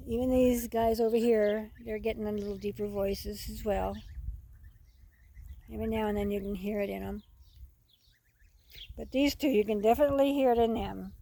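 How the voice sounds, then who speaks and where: A woman's voice: medium pitch, fairly even intonation, normal speed, gravelly, long pauses, mellow. A woman rambling casually in a folksy, repetitive way as she narrates a farm video outdoors. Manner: folksy, rambling, repeats herself. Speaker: farm vlogger. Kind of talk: casual unscripted narration while filming animals. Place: outdoors on a farm, among poultry.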